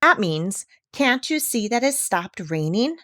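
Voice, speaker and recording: Lady doing a proud bossy girl parrot for a storybook, high voice, swoops around a lot, dry close sound. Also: sing-song, unhurried, pushed and bright, no echo. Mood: instructive. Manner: emphatic, instructive. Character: proud, bossy female parrot, eager teacher.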